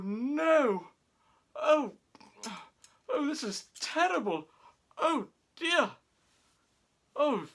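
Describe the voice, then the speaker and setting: A man voicing a fussy, excitable, theatrical lady who hosts a comic children's storytime in a fluttery, exclaiming manner. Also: very high-pitched, pitched above the performer's own voice, heavily acted. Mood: dismayed.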